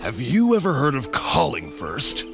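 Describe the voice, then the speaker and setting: Male actor as hot-headed cartoon echidna warrior, gruff, creaky, slow curt delivery, stubborn. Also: medium pitch, wildly swooping pitch, a bit bright, faint music behind, exaggerated voice. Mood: gruff, reluctant. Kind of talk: animated comic dub acting.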